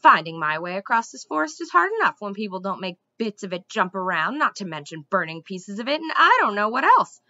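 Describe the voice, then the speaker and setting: Woman acting a loud, indignant, scolding squirrel. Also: high-pitched, big pitch swings, moderate pace, no room sound, dull audio, voice pushed up, heavily acted. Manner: huffy, complaining, emphatic. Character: fussy, indignant, scolding fat gray squirrel. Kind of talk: audiobook character voice.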